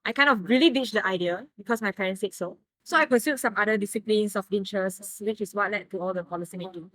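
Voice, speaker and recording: A young woman from Malaysia, a guest in a casual interview who explains her ideas in careful, measured steps. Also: mid-range voice, a bit bright, no echo, rough recording. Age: young adult.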